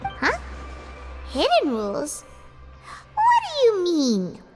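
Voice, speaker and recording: A woman voicing a small, childlike, excitable fairy girl with a squeaky voice in a video game. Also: slow pace, over music, heavily acted. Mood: alarmed, curious. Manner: excitable, exclaiming, refers to self in third person. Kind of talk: video game cutscene voice acting.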